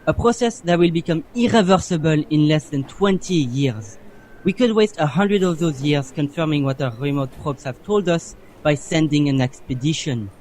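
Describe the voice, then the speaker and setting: An actor playing an earnest male scientist in a sci-fi radio play, with formal, deliberate phrasing. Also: fairly high pitch, a little mellow, slight background noise, natural voice, low-quality recording. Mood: grave.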